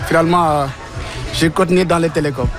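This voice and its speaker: A man from Senegal with a Senegalese French accent, telling his story in a relaxed, hesitant way. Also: fairly high pitch, quick pace, over music. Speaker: interviewee.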